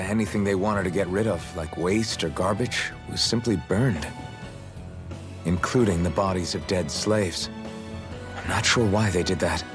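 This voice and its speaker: An actor playing a loyal, determined young rebel hero in a video game, earnest and resolute. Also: male voice, low voice, lightly acted. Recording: video game music behind.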